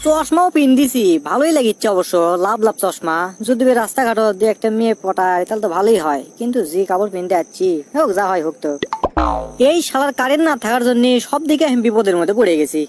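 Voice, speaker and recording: A man's voice: high-pitched, animated, fast, background noise. A man voicing a wily, grumbling young village headman in a comic cartoon, speaking with a rustic Bogura accent from northern Bangladesh. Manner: rustic, complaining, wisecracking. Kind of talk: comic cartoon dialogue and monologue.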